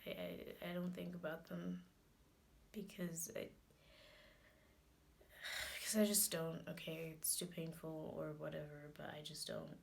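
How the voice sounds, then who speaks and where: A woman performing a dramatic monologue as a guarded, hot-headed grieving daughter, talking colloquially and haltingly, with repeated phrases. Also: low voice, little pitch movement, creaky, slight background noise. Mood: subdued, pained.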